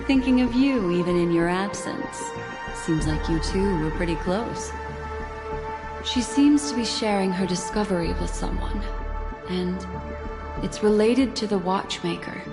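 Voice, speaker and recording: An actress playing a composed, enigmatic woman in a video game, speaking in a measured, elegant, analytical way. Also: mid-range voice, over loud music, lightly acted. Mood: intrigued, composed.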